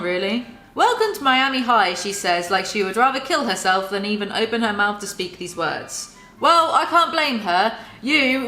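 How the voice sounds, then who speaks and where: A woman reads aloud with mock drama and keeps cutting in with sarcastic asides. Her voice is bright and sharp. The sound carries a noticeable roomy echo. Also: medium pitch. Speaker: YouTuber reading and mocking a book. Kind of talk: dramatic reading with sarcastic commentary.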